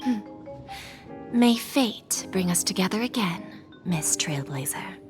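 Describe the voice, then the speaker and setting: An actress playing an enigmatic, alluring wish broker in a video game, measured and sultry, with breathy phrase endings. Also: female voice, medium pitch, expressive. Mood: calm, mysterious. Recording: background music under the voice. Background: music.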